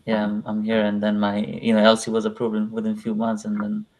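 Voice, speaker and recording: Young man from Nepal, low voice, monotone delivery, halting casual interview speech. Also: poor audio. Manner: hesitant, many fillers and restarts. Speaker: interview guest, visa client.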